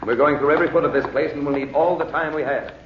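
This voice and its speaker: An actor playing a calm, authoritative magician hero, speaking firmly and reassuringly in an old radio drama. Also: male voice, fairly high pitch, fast talker, noisy background, natural voice. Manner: firm, reassuring. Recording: muffled old radio transcription.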